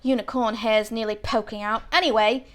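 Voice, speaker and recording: A woman's voice: a little high, steady tone, moderate pace, a bit bright, close to an everyday voice. Storybook schoolboy, performed by a woman: blunt, muttering, grumbling, gloomy, self-deprecating. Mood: disgusted.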